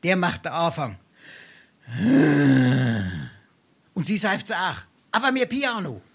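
A florid, scheming Hessian sponger. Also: male voice, high voice, big pitch swings, moderate pace, bright, forceful tone, full character voice. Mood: coaching, sly. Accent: South Hessian, Darmstadt dialect. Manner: florid mock-pompous speech, theatrical sighs. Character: brazen silver-tongued male sponger, theatrical drinker and schemer. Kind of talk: dialect stage comedy acting.

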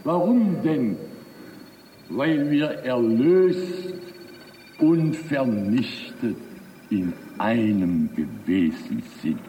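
A man giving a solemn, halting speech, deliberate and grave, with rhetorical questions. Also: mid-range voice, animated, mellow. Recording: roomy archive recording, distant mic, faint noise.

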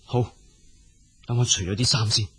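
An actor playing a gentle, compassionate young prince in a radio drama, earnest and given to sighing and musing aloud. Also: male voice, mid-range voice, fairly even intonation, normal speed, husky, faint music behind. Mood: distressed pity. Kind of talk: Cantonese radio drama acting.

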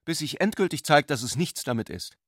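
An actor playing an intellectual, playfully ironic brother in a literary radio drama, speaking eloquently. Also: male voice, a little high, lively intonation, a little fast, fairly bright tone, no room sound, studio quality. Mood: eager, musing.

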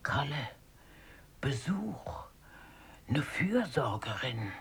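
Husky, feisty old grandmother. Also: a bass voice, sing-song, extremely slow, heavily acted. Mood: grumbling. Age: older. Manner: grumbling, exclaiming, blunt. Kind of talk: German radio drama acting.

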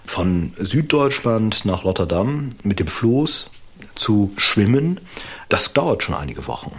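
A man explaining as an expert interviewee, in academic, deliberately phrased speech with a husky voice. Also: fairly low pitch, sing-song, fairly bright tone.

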